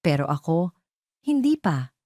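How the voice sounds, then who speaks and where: A woman voicing an earnest, devoted young father and wealthy suitor in an audio story, speaking in a sincere, gentle, measured way. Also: low-pitched, very animated, no echo, clean studio sound, full character voice. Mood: wistful.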